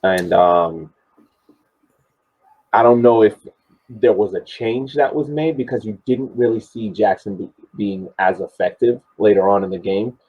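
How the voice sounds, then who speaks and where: On slightly muffled audio, a man with a fairly low, husky voice talks through a match. His casual analysis comes in halting phrases with repeated words. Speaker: sports podcast panelist.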